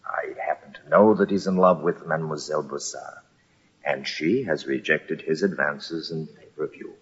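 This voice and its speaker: Deep, husky army officer. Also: male voice, moderate pace, a bit bright, exaggerated voice. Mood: serious. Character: obliging army captain, a cautious peacemaking comrade. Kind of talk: old-time radio drama acting. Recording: dull, band-limited old radio recording.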